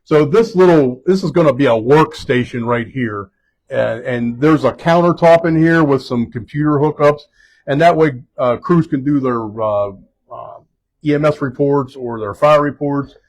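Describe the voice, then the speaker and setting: A fire chief leading a group around a building indoors, speaking loudly in a casual, halting way with many fillers and restarts. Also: male voice, mid-range voice, poor audio. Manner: loud voice. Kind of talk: casual spoken tour guiding.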